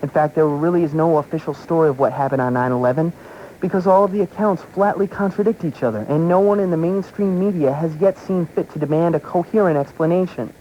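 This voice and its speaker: A man's voice: fairly high pitch, fast talker, muffled recording, a little hiss or hum. An American man reading political commentary aloud as a radio talk show host, taped from a shortwave broadcast. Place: radio broadcast, heard through a shortwave receiver.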